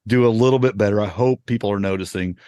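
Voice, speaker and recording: Guy hosting a podcast, kinda low voice, rambles and restarts a lot, laughs a bunch. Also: fast talker, dark tone, no room sound, highs cut off. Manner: rambling, self-correcting, laughs often. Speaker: podcast host. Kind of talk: casual chat.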